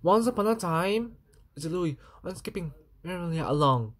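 A woman reading a children's picture book aloud, with a halting delivery and occasional stumbles. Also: lowish voice, expressive, husky. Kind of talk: children's story read aloud.

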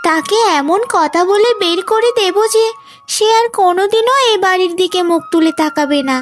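A woman voicing a spiteful, sneering young unmarried sister-in-law in an animated moral story. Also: very high-pitched, normal speed, soft background music. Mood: complaining. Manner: sneering, scolding.

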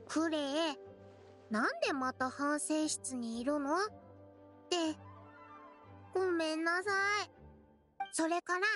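Cutesy, very high little girl. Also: a bit bright. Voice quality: childlike, cutesy. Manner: naive, refers to herself by name. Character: innocent, eager little girl in red. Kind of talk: Japanese anime game acting.

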